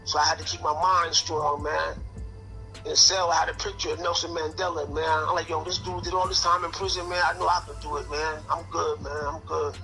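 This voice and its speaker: A man in his late fifties from Connecticut, talking in a loose, streetwise way about life inside. His voice sits a little high and sounds bright and forceful. Faint music plays underneath. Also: flat delivery, brisk, gravelly, poor audio. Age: 50s. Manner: streetwise, fillers "man" and "son" constantly. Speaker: interview guest. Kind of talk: casual prison storytelling.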